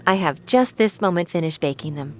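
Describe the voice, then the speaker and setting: A woman's voice: on the low side, animated, fairly quick, music in the background, natural voice. An actress playing a hospitable woman offering homemade treats in an audio drama. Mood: inviting.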